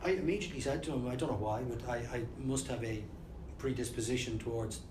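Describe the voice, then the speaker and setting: A middle-aged Irish man rambles through his answers, restarting sentences and laughing lightly. His level voice carries room echo, and there is loud chatter behind him. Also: mid-range voice, fast talker, noisy background. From Ireland. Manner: rambling, restarts often, chuckles. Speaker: film director. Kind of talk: casual interview chat. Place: busy event venue. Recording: roomy hall with loud chatter.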